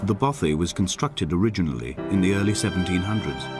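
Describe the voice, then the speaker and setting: An actor playing a grieving, weary male castaway, narrating a video game's literary monologue in a solemn, measured, poetic way. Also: deep voice, expressive. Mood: solemn, reflective. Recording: voice over music.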